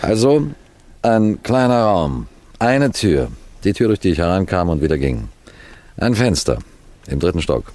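A man playing a composed, clever police detective, recounting a case in measured, precise radio mystery narration. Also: deep voice, very animated, darkish tone. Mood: explaining, precise. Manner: measured, precise, deliberate storytelling.

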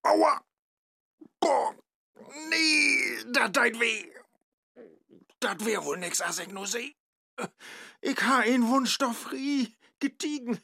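An actor playing a hapless, lovestruck Faust in a comic rhymed radio play, with a Low German accent and theatrical asides. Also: male voice, expressive, slow, vocal fry, pauses often, sharp tone, dry recording, exaggerated voice. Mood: whining, frustrated. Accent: Low German, northern Germany. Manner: theatrical, exclaiming, muttering asides. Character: lovestruck, hapless modern Faust chasing a young woman.

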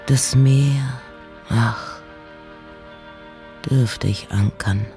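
Gravelly low-key woman reciting literature. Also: drawn-out words, music in the background. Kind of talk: dramatic literary reading of poems and letters in German.

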